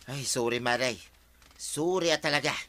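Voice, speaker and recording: A man's voice: medium pitch, normal speed, bright, forceful tone, no room sound, lightly acted. An actor playing a sheepish middle-aged man caught stealing fish, apologizing and pleading, with an Ilocano accent.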